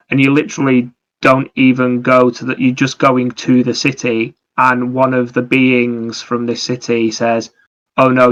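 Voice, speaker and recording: A man's voice: mid-range voice, fairly bright tone, highs cut off. A man chatting casually as a podcast co-host about video games, explaining things in a rambling, anecdotal way.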